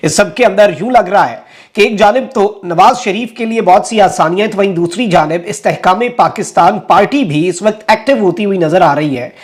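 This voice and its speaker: A man's voice: sits high, a little fast. A man with a Pakistani accent presenting political news commentary to viewers, mixing English phrases into his talk.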